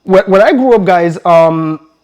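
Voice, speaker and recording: Energetic man coaching in accented English. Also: sits high, a little slow, rough recording. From grew up in Belgium. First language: not English. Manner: energetic, addresses viewers as "guys", many fillers and repetitions. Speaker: real estate coach. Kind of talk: motivational talk to camera.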